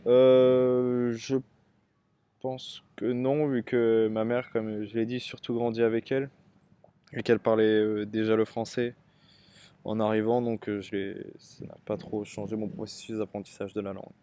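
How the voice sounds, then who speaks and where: A young man with a Parisian French accent answers interview questions about his life and studies. He speaks thoughtfully, pausing often with fillers. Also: lowish voice, little pitch movement, warm tone, clear, resonant tone. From Paris area, France. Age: young adult. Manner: thoughtful, hesitant, frequent fillers. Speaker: interviewee, student.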